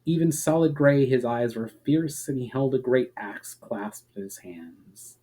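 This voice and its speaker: A man giving a dramatic, deliberate storybook narration of a fantasy tale, as an author reading aloud. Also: medium pitch, dark tone, slightly rough.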